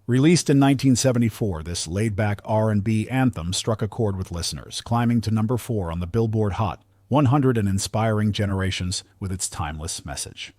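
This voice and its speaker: Low-voiced man narrating, close and dry. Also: a little fast, hardly any pauses, a little mellow, no room sound. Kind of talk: scripted countdown narration.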